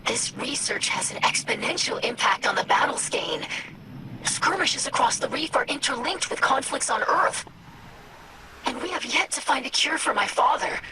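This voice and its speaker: Earnest, high-voiced young alien scientist. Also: female voice, lively intonation, normal speed, background noise. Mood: earnest, focused. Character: young female alien scientist, earnest, anxious, dutiful daughter. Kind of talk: dramatic video game acting.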